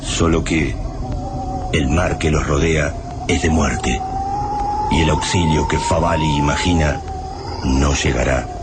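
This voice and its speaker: Very deep, slow, solemn narrator. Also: male voice, steady tone, loud music behind the voice, heavily acted. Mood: somber. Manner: solemn, measured. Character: grave male narrator of a doomed survival tale. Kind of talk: dramatic radio drama narration.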